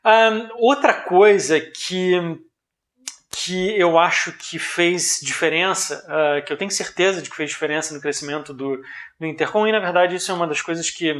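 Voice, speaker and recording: A man's voice: fairly high pitch, normal speed, a bit bright. A man with a Brazilian accent giving an informal business explanation in a didactic, conversational way, often checking in with the listener. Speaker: presenter of a business analysis video.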